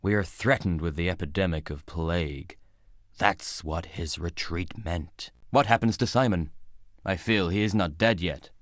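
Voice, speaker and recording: A solemn old judge for a video game, speaks in a low-pitched voice with very little room sound. He is weary and cryptic, and each line comes out as a grave, formal pronouncement. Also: unhurried, no room sound, studio quality. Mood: grave, brooding. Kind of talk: dramatic video game voice acting.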